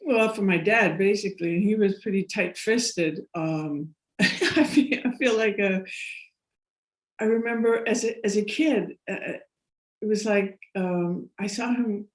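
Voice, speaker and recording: Woman, American accent, middle-aged, rambling, laughing, mid pitch, roomy echo. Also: measured pace. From United States. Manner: rambling, laughs often, many restarts. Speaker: guest. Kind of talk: casual interview storytelling.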